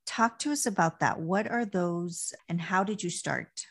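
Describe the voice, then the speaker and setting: A woman's voice: on the low side, a little slow, breathy edge. A woman hosting an interview, friendly and affirming, with frequent short encouragements. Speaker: podcast host.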